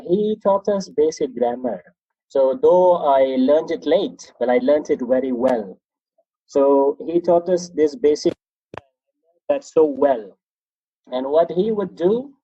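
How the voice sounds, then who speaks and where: A man's voice: fairly high pitch, husky, no echo, highs cut off. A man from Pakistan with a Pakistani accent, an English teacher talking about his life as a language learner. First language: Pashto. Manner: animated, reflective, often laughs at himself. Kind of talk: conversational interview.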